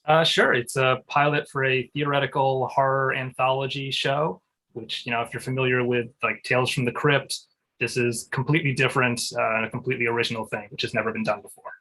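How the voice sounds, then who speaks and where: Casting: male comedy writer, bright tone, casual deadpan introduction. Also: medium pitch, fairly quick, poor audio.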